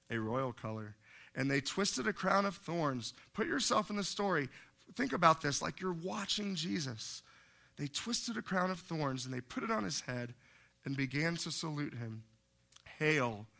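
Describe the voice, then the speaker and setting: Bright-voiced, slightly crackly male preacher. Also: on the high side, vocal fry. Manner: conversational, emphatic, rhetorical questions to the congregation. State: slightly hoarse. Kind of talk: sermon to a church congregation.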